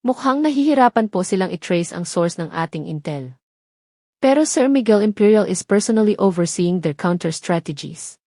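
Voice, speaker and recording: A woman's voice: medium pitch, expressive, moderate pace, mellow, no echo, studio quality. Woman reading as a polite office assistant. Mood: businesslike. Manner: polite, businesslike. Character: deferential assistant reporting to a boss.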